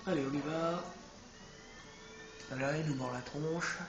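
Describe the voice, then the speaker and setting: A man's voice: a little high, slow, over loud music. A man with a head cold, chatting casually and rambling as he plays a video game, often correcting himself. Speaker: let's play gamer. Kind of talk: casual gameplay commentary. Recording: echoey room with background noise.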